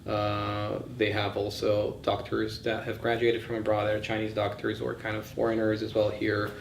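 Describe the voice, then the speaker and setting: A man's voice: fairly low pitch, completely flat delivery, moderate pace, creaky. A man giving an informal lecture, thinking aloud in a conversational and opinionated way. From born in Bulgaria, grew up in several countries. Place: indoors in a session room. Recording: echoey room, faint background noise.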